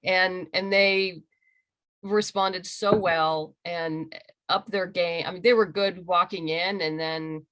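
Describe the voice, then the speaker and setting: A woman's voice: lowish voice, steady tone, slow, fairly bright tone, low-quality recording. A woman being interviewed as a writer and creator, talking casually and enthusiastically on a video call. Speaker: interviewed comic book writer and audio drama creator.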